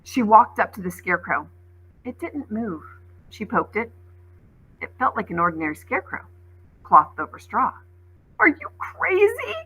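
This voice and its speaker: Woman, bright forceful voice, husky edge, teacher, expressive storybook read-aloud, plain explanations. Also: mid-range voice, moderate pace, long pauses, low-quality recording. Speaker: schoolteacher reading aloud.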